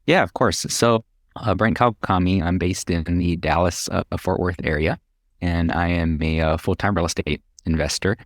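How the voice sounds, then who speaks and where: Low-voiced American man, casual guest. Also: moderate pace, no echo, clean studio sound. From Hawaii, United States. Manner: conversational, chuckles while talking. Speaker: podcast guest, real estate investor. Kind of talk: casual interview, telling own story.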